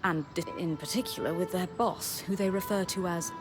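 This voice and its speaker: An actress playing a formal, scholarly codebreaker in a video game, speaking in a precise, measured, dry manner. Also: female voice, fairly low pitch, fairly even intonation, close to an everyday voice. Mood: composed, dry. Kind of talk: video game dialogue acting. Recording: voice under clear background music.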